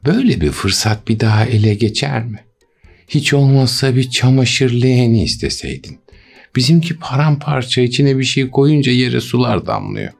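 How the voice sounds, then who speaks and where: A man's voice: medium pitch, animated, moderate pace, vocal fry, husky, soft background music, voice pushed up. A man voicing a scolding, greedy old woman, a fisherman's wife in a storybook, nagging and reproachful. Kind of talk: storybook character voice.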